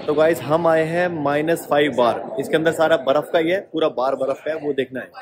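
A man's voice: fairly high pitch, fairly even intonation, fairly quick, noisy background. A man, chatting informally to viewers as a travel vlogger. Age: 30s. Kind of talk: casual vlog narration to camera. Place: moving between car, house and busy outdoor streets. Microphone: phone at arm's length. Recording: phone recording with music behind.

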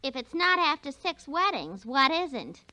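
An actress playing a breezy, scatterbrained secretary who mangles fancy words in a 1940s radio comedy-drama. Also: female voice, high voice, very animated, measured pace, bright, forceful tone, no room sound, full character voice. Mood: breezy, chatty. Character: chatty, scatterbrained female temp secretary, much-married, breezy. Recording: 1940s radio broadcast recording.

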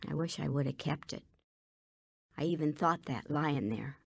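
A woman's voice: sits low, normal speed, gravelly, husky, dry recording, clean studio sound, exaggerated voice. An actress playing a remorseful woman burdened by shame, earnestly telling her life story in a radio drama. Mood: grieving. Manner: earnest, regretful. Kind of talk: dramatized first-person testimony.

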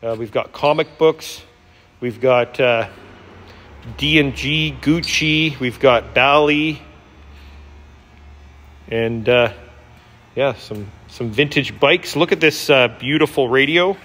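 A man giving a casual, unscripted walkthrough as an auctioneer, with an enthusiastic salesman's pitch, in a large indoor warehouse. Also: takes it slowly, long pauses, fairly bright tone. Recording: reverberant large hall.

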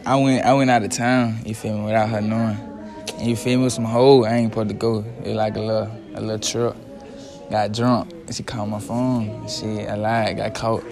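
A teenage boy answering an interviewer in a school classroom, low, mumbled and hesitant. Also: little pitch movement, moderate pace, creaky, music in the background. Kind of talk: casual storytelling.

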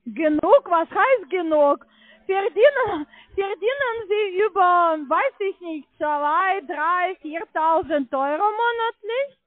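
Really high voiced woman on a tinny phone line, slow and hesitant, call center type. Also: expressive, dry recording. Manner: hesitant, searching for words, non-native grammar. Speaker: call centre caller presenting herself as coordinator. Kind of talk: halting phone sales conversation.

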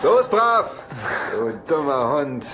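A man's voice: fairly high pitch, big pitch swings, slow, background noise. An actor playing a cheeky, mischievous hard-drinking bachelor who wisecracks his way through a German-dubbed sitcom. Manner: wisecracking, flippant.

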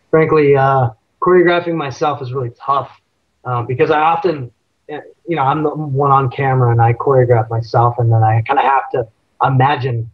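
A man's voice: mid-range voice, dry recording. A man in his thirties, a stunt actor and fight choreographer, talking casually and self-deprecatingly as a guest on a video-call interview from a room at home. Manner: rambling, self-deprecating, laughs often. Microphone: webcam on video call. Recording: dull lo-fi call audio.

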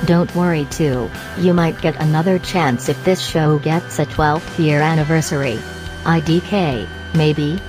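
An eager, reassuring cartoon helper played by a woman speaks in a low female voice. The lines come out flatly, like typed text read aloud, with loud music behind. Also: a little slow, rough recording. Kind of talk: scripted cartoon roleplay.

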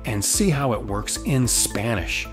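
A man's voice: mid-range voice, animated, measured pace. A man hosting a tutorial with upbeat, promotional scripted narration. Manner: enthusiastic, promotional. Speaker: tutorial host. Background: background music.

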